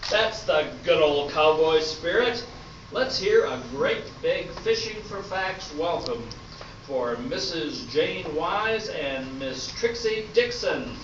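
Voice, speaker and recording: A man's voice: on the high side, animated, slow pace. A man playing a slick, self-satisfied game show host in a radio play, with grand announcer delivery. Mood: showy, upbeat. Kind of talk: old-time radio game show hosting. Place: stage performance at a school arts festival. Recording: echoey hall, distant mic, very noisy, muffled.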